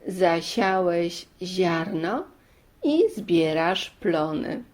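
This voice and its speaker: A woman narrating a children's fairy tale as storyteller, deliberate, pausing between short phrases. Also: fairly low pitch, expressive, darkish tone.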